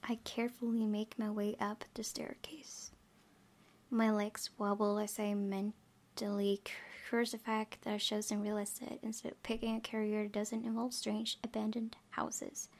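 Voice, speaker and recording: A woman's voice: mid-range voice, level tone, measured pace, darkish tone. A woman doing a let's play, reading visual novel text aloud expressively with spontaneous, giggly asides. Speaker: gaming video host. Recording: noticeable background noise and ambience.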